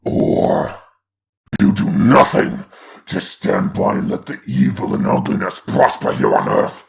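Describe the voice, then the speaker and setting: A man voicing a grandiose, taunting demon lord in a comic dub, with a gravelly, theatrical delivery. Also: low-pitched, slight background noise, heavily acted. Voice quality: gravelly, creaky. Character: grandiose demon lord, menacing mocking father of hellspawn.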